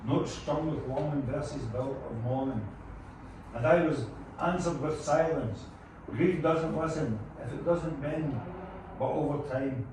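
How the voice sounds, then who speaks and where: A man's voice: medium pitch, close to an everyday voice. Mythic bard mentor male reader, mid-range, sorrowful and solemn, poetic phrasing, off-mic in a big echo. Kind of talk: poetic table read of a film script. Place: echoey room at a group table read. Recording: very echoey room, distant mic, noisy.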